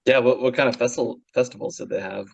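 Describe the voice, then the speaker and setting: A man's voice: medium pitch, steady tone, quick pace, gravelly, slightly rough, dry recording. A man hosting a podcast, asking easygoing open questions and laughing often. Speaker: podcast host. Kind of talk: casual interview.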